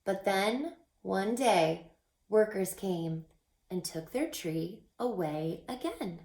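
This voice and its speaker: A woman teacher reading a children's storybook aloud in an expressive, teacherly way, pausing to put questions to young listeners. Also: mid-range voice. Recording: echoey, roomy sound.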